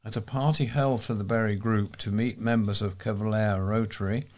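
Low-pitched man reading local news. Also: steady tone, normal speed, a little mellow. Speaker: volunteer newsreader.

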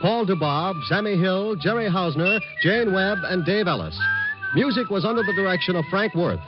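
A man's voice: high voice, very animated, moderate pace. A man with an American accent announcing in a formal, polished late 1940s radio style. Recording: muffled old radio broadcast, music behind.

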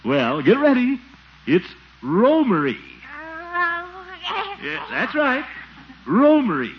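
A man's voice: sits high, wildly swooping pitch, slow pace, crowd noise, exaggerated voice. An actor playing a jolly, flustered middle-aged man who dotes on a baby, theatrical and chuckling, in a 1940s radio comedy. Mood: jovial, grateful. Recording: noisy, dull 1940s radio recording.

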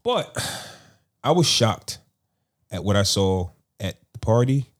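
A man in his forties co-hosts a podcast with his brother, telling stories in loose, joking banter. His voice is husky, and his pitch swings widely as he gets animated. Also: slow pace. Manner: laughing storyteller, animated. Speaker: podcast co-host. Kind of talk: casual banter.

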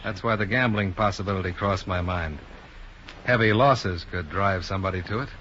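An American actor playing a cool, businesslike insurance investigator in a 1950s radio drama, terse and matter-of-fact. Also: male voice, low-pitched, normal speed, pushed and bright. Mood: probing, cool. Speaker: radio drama lead and narrator. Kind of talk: 1950s hard-boiled radio acting and narration. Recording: muffled, noisy 1950s radio recording.

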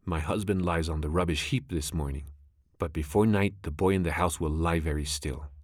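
Man playing a deep-voiced, hissing female cobra from a storybook, cold and vengeful. She drawls her threats slowly over a close, dry mic. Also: no echo. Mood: menacing.

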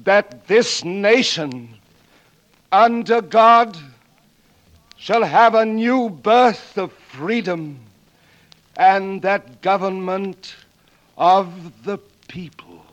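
An actor playing an earnest, loyal English gentleman's valet, polite and solemn, in 1940s radio style. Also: high-pitched, drawn-out words, pauses often, highs cut off, exaggerated voice. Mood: fervent.

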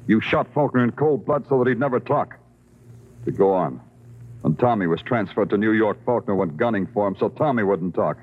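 An actor playing a hard-boiled, sardonic New York police detective in a 1950s radio crime drama, with tough, clipped delivery. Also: male voice, on the low side, lively intonation, brisk, slightly rough. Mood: accusing. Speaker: lead actor and narrator. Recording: dull old radio recording with music.

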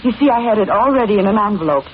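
A woman's voice: medium pitch, expressive, racing, a little hiss or hum, close to an everyday voice. Woman acting a meek young job seeker, muffled old radio. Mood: meek, polite. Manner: deferential, hesitant. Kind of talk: 1940s radio horror acting.

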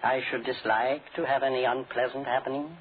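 An actor playing a courteous but menacing doctor in 1940s radio style, speaking formally and with measured politeness. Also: male voice, medium pitch, flat delivery, natural voice. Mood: coolly polite. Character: cold, courteous doctor turned menacing killer. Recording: scratchy, tinny 1940s radio broadcast.

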